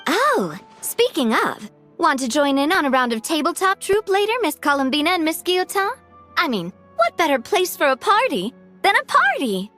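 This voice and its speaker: A woman's voice: sits high, sing-song, normal speed, pushed and bright, exaggerated voice. A woman voicing a playful, enthusiastic young female tabletop-game fan in an anime video game. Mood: playful excitement. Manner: giggly, enthusiastic. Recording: music playing behind the voice.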